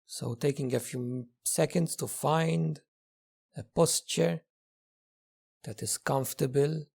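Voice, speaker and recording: A man leading a guided meditation as a teacher, speaking gently in short phrases with long pauses. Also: on the high side, vocal fry, breathy edge. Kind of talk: guided meditation, slow spoken instruction.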